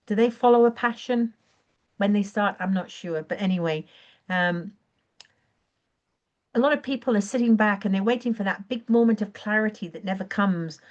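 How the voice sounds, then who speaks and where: A woman coaching on a live stream, her voice croaky and her throat often clearing, talking in an earnest, encouraging way and now and then correcting herself. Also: mid-range voice, lo-fi sound. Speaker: online business coach. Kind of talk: live-streamed training talk.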